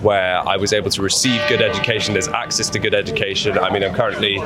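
A man's voice: on the low side, flat delivery, fast talker, music in the background. A young man being interviewed in a casual street conversation, hesitant, with many fillers and restarts. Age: young adult. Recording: crowd noise of a busy street.

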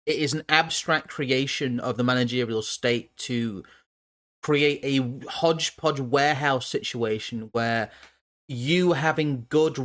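A man's voice: mid-range voice, a bit bright. A man hosting a livestream, musing about food and often stumbling and repeating words. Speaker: streamer host. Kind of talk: casual livestream talk.

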